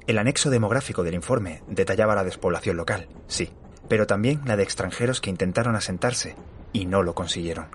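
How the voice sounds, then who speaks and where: A man playing a curious, literary-minded state employee, narrating a horror audio drama in a measured, formal way. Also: deep voice, slight background noise, close to an everyday voice. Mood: measured, intrigued. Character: curious, literary-minded male civil engineering employee narrating his memoir. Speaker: narrator and lead actor.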